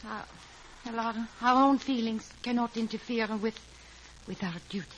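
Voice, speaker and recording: Lovestruck young female comrade played by an actress, high voice, breathless and emotional, faintly noisy vintage radio drama. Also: moderate pace, a bit bright, lightly acted. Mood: distressed, pleading. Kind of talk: 1950s radio drama acting.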